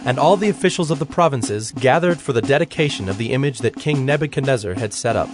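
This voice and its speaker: An actor serving as a solemn storyteller, narrating ancient scripture in a measured, formal way. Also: male voice, medium pitch, animated, close to an everyday voice. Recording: music under the voice.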